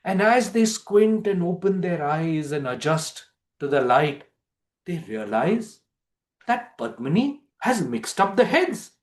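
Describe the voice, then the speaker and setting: A man narrating as a storyteller, giving a theatrical, expressive dramatic reading of a play. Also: high-pitched, takes it slowly.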